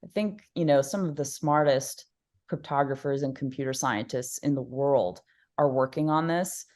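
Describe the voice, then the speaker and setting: A woman's voice: extremely low pitch, moderate pace, darkish tone. A woman guest on an interview podcast, speaking thoughtfully with many fillers, restarts and easy laughs. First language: Polish. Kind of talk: conversational interview.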